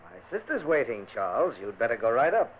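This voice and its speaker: An actor playing a brooding, controlling lord of a decaying manor, in vintage radio drama style. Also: male voice, mid-range voice, big pitch swings, a little fast, close to an everyday voice. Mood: grave. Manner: dramatic, menacing, theatrical. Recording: muffled old radio recording with hiss.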